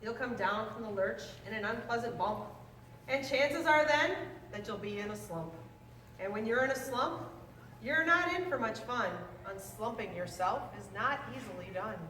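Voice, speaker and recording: A woman reading a children's rhyming book aloud in a lively, sing-song way. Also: fairly high pitch, normal speed. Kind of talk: read-aloud storytelling. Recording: roomy, distant mic, faint noise.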